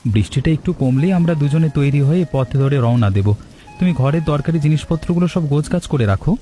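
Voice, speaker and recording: A man voicing a calm, responsible young village husband in a cartoon story, steady and formal. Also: a little high, fast, soft background music, close to an everyday voice. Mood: reassuring. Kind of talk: Bengali cartoon story dialogue.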